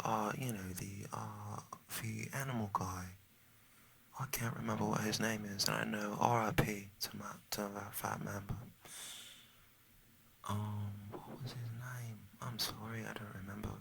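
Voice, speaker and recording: An American man putting on a Cockney British accent for a rambling, soft-spoken, partly whispered ASMR chat that repeats and trails off. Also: fairly low pitch, creaky, slight background noise. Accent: put-on Cockney British. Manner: meandering, repetitive, often trailing off. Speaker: ASMR video creator.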